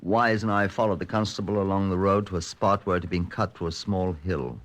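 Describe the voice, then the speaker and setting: A British man playing a grim, dry police chief inspector in a measured, understated radio drama style. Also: sits low, steady tone, natural voice. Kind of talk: 1950s radio drama acting and narration.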